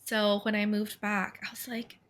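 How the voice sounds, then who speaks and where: A woman's voice: mid-range voice, level tone, moderate pace, a bit bright. A woman in her twenties from Taiwan with a North American accent, chatting casually as a podcast co-host, full of fillers and quoted asides. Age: 20s. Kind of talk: casual chat.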